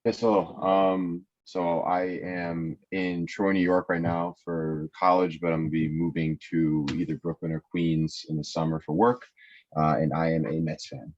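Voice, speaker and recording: Young guy, really deep monotone, casual intro, muffled call audio. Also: moderate pace. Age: young adult. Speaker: college student.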